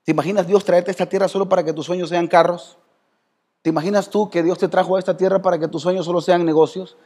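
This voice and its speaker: A Salvadoran pastor preaching to his church in a high voice. He talks conversationally and quizzes listeners by name. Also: steady tone, fast talker, dark tone, slightly rough. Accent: Salvadoran Spanish. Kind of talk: sermon. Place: church hall.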